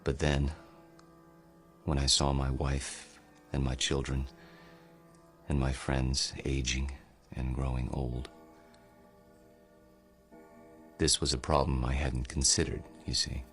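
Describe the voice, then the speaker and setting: An actor playing a world-weary, grave and rueful immortal man in a radio drama, speaking in a measured, philosophical way. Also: very deep voice, level tone, a bit bright, heavily acted. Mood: reflective. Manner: measured, philosophical, deliberate delivery. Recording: radio drama with music underneath.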